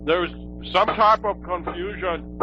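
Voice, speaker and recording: A dull, lo-fi broadcast with clear music underneath carries a flustered official announcer, acted out by a man. The voice sits a little high and stumbles along, repeating itself in awkward reassurance like a mock emergency alert. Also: slow pace. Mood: awkward, reassuring. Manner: hesitant, repetitive, awkwardly reassuring.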